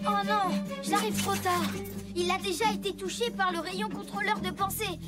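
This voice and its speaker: A woman voicing a brave, excitable young rescue motorbike boy in a children's cartoon. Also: very high voice, moderate pace. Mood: worried, urgent. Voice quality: youthful, childlike. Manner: excitable, earnest. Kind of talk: children's cartoon dub acting in French. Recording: loud music bed behind dialogue.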